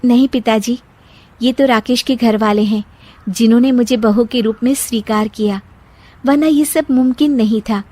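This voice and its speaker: A woman's voice: fairly high pitch, steady tone, moderate pace, dark tone, natural voice. A woman voicing a dutiful, sensible young daughter with an earnest, comforting manner in a moral story. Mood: gentle. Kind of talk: Hindi moral story dramatization.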